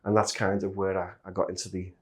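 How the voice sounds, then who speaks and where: A man's voice: deep voice, completely flat delivery, quick pace. A middle-aged man from Liverpool with a Liverpool accent, chatting as a guest in a casual interview. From Liverpool, England. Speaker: interview guest, industrial safety manager.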